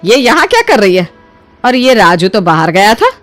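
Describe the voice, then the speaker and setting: A woman voicing a trusting, soft-hearted older mother-in-law in a cartoon moral story, with an earnest, expressive delivery. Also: mid-range voice, moderate pace. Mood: bewildered, questioning. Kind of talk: animated Hindi moral story dialogue. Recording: music behind the voice. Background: music.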